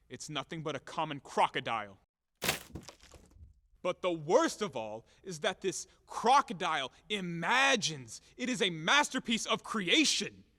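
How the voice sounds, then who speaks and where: An actor playing a blustering, hot-tempered boor in an audio play, loud and declamatory, scornful and bombastic. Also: male voice, sits high, exaggerated voice. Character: blustering, hot-tempered, boorish man demanding repayment, cynical about women. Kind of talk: theatrical audio play acting. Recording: echoey hall-like reverb.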